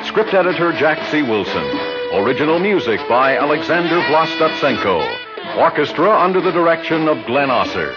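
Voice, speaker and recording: A man's voice: on the high side, sing-song, moderate pace. An American man reading a formal, scripted 1960s radio announcement as a show's announcer. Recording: dull vintage radio, loud orchestral music behind.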